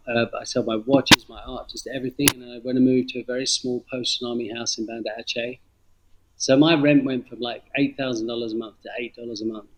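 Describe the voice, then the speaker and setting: A middle-aged man with a London English accent tells his own story reflectively in an interview, halting and repeating phrases for emphasis. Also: medium pitch, poor audio. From London, England. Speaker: interview guest, former banker turned coffee farmer. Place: remote video call from Indonesia.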